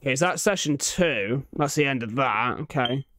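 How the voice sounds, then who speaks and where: A man's voice: mid-range voice, lively intonation, moderate pace, fairly bright tone, dry recording. A young man giving casual reaction commentary in slangy, sweary language, recorded close on a desk microphone at home. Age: young adult. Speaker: reaction video host. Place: small room at home, indoors. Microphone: large desk microphone, close up.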